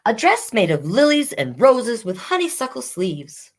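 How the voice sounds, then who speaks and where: Woman playing an earnest, high-pitched pleading child. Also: wildly swooping pitch, measured pace, exaggerated voice. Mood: hopeful. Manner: pleading, sincere. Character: earnest, hopeful young child pleading with parents. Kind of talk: picture-book character voice.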